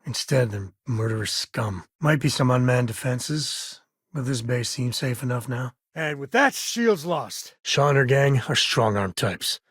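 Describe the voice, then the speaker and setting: Principled space-frontier dad, video game hero, heavily husky voice, plainspoken, wry, dry studio sound. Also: male voice, mid-range voice, animated, a little slow, fairly bright tone, no room sound, exaggerated voice. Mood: grim, indignant. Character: principled rugged spacefaring ex-lawman and devoted father. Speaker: video game companion character. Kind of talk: video game voice acting, naturalistic dialogue.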